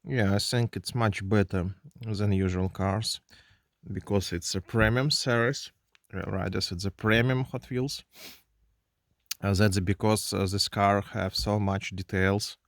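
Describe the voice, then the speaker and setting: A man with a Russian accent reviewing a toy car at his desk, speaking halting English full of fillers and restarts. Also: fairly low pitch, steady tone, no room sound, clean studio sound. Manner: halting non-native English with many fillers. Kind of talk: hands-on unboxing review. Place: indoors at a desk.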